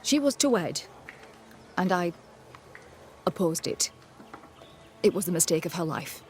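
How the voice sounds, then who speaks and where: A woman's voice: lowish voice, expressive, a little slow, faint music behind. A woman voicing a proud, pious colonial healer with a prim, curt and formal manner in a video game. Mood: stiff, regretful.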